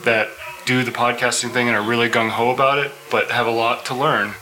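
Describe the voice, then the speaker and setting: A man's voice: medium pitch, flat delivery, brisk, pushed and bright, rough recording. A man chatting casually as the host of a live panel. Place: live convention panel by a restaurant window. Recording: faint background noise.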